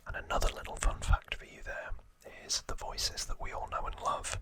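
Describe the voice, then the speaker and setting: A man hosting ASMR, whispering informal trivia narration in a hushed, rambling way with casual asides. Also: a little fast. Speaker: ASMR host.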